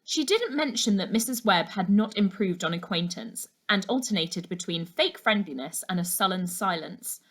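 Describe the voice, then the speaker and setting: A woman narrating a children's novel aloud as a teacher would to a class, in an expressive storytelling style. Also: mid-range voice, normal speed, hardly any pauses.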